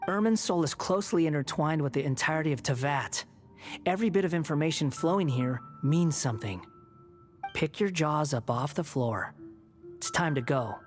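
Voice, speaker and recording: A man's voice: fairly high pitch, animated, normal speed, faint music behind, natural voice. An actor playing a smug, sardonic young man in a video game, speaking in a cool, snide and condescending manner. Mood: cool, commanding. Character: smug, sardonic young male prisoner on temporary release.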